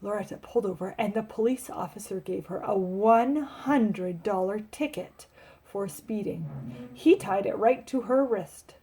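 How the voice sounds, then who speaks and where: A woman reading a picture book aloud to children like a teacher, expressive and emphatic, with asides to her listeners. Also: medium pitch, measured pace, breathy edge.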